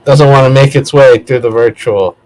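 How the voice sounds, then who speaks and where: A man's voice: medium pitch, steady tone, normal speed, darkish tone, rough recording. A man talking casually as a vlog host, digressing and correcting himself as he goes in a conversational way. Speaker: video host, vlogger. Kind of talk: casual rambling spoken vlog.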